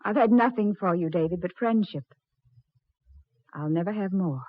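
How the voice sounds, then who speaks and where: Woman acting a guarded, husky married woman. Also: on the low side, normal speed, natural voice. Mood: firm. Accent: American. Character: firm, guarded married woman rebuffing suitor, devoted to husband. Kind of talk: 1940s radio melodrama acting. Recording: muffled old 1940s radio recording.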